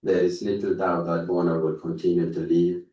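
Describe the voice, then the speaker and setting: A man reading a prepared tribute aloud at a conference, haltingly, stumbling over words and repeating them. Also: low voice, level tone, warm tone, lo-fi sound. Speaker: speaker reading out a written tribute. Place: indoor conference room. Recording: roomy echo, distant microphone.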